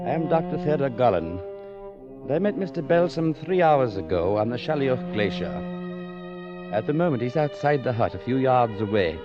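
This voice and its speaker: Low-voiced actor as a composed gentleman narrator. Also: natural voice. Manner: formal, measured, precise. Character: composed, courteous male doctor narrating his tale. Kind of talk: 1940s radio drama narration. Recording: muffled 1940s radio recording, loud music.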